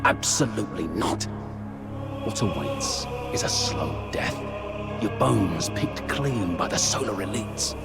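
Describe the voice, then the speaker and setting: A menacing demon revolutionary from a fantasy game. He speaks slowly and grandly, his pitch sweeping widely as he persuades and threatens like an orator. Clear music plays underneath. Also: full character voice. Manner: theatrical, oratorical, deliberate emphasis. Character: grandiose, vengeful male demon rebel leader, persuasive and menacing. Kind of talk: dramatic video game voice acting.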